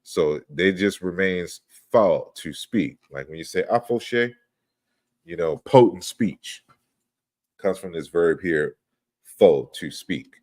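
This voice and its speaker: A man's voice: deep voice, expressive, slow, a little mellow, dry recording. A man giving an online lecture over slides, explaining step by step and often checking in with "right?". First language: not Yoruba. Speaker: lecturer on language history.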